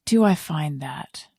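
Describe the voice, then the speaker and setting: A woman's voice: low voice, darkish tone, husky, no echo, clean studio sound. A woman hosting a casual podcast conversation, often hesitating as she thinks aloud. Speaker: podcast host.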